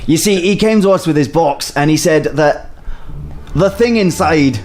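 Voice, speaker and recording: A man voicing a male scholar in a deliberately bad Scottish accent, explaining slowly. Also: on the high side, a little mellow, faint music behind, lightly acted. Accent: bad put-on Scottish.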